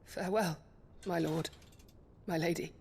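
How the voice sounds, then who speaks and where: Deferential, anxious young female attendant, unhurried, reverberant, for fantasy game drama. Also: steady tone, creaky, a little mellow, husky, full character voice. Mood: anxious devotion. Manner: hesitant, deferential. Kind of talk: dramatic video game acting. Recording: echoing reverb, faint rumbling noise.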